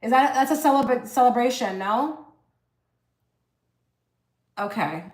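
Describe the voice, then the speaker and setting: A woman's voice: fairly high pitch, expressive, a little slow. A woman hosting a casual tarot-reading livestream, talking chattily with many fillers and restarts while she reads cards and answers the chat. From half Egyptian. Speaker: tarot reader livestream host.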